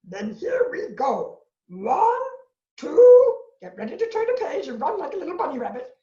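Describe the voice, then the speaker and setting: Woman voicing a high, frantic male storybook monster. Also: big pitch swings, normal speed, warm tone. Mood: nervous bravado. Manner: melodramatic, pleading. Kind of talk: animated children's read-aloud. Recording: roomy, dull lo-fi sound.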